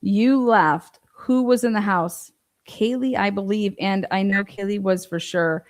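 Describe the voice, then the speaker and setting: A woman's voice: medium pitch, unhurried. Skeptical woman doing informal case commentary. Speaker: true-crime commentator. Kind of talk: informal case commentary, reading transcript aloud with asides.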